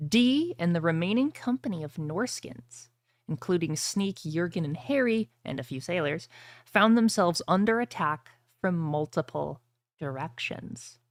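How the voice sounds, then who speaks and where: A woman's voice: fairly low pitch, no room sound. A woman hosting a tabletop fantasy game as game master, moving between casual chat and scripted storytelling. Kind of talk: casual table talk and dramatic scripted fantasy recap narration.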